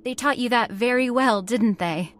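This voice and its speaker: An actress playing an earnest, headstrong factory heiress in a radio horror drama, with an emphatic, theatrical delivery. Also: female voice, a little high, moderate pace, natural voice. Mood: coaxing, pleading. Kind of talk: old-time radio horror drama acting.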